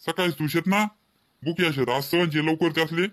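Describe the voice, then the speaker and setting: A man's voice: a little high, brisk, fairly bright tone, slight background noise, natural voice. A man voicing a proud, practical farmer father in a comedy cartoon, plain-spoken and directing, with an Ahirani accent.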